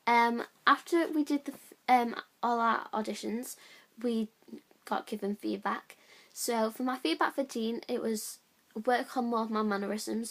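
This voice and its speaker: A young woman, a drama student, reflecting on her audition in a halting way with many fillers. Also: vocal fry. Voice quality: high-pitched voice, by her own account. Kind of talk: reflective spoken account.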